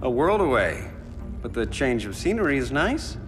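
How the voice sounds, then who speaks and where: A man plays a sardonic, flippant hero in a video game. His voice is medium-pitched and expressive, ringing with echo over prominent music. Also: normal speed, close to an everyday voice. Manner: flippant, dry. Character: wisecracking, sardonic male hero. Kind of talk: animated game cutscene acting. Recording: roomy echoing cavern reverb under music.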